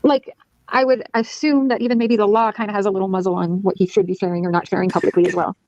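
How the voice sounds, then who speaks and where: A woman's voice: mid-range voice, little pitch movement, quick pace, darkish tone, dry recording. A woman chatting casually on a panel and asking questions, on dull lo-fi audio. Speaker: online panelist.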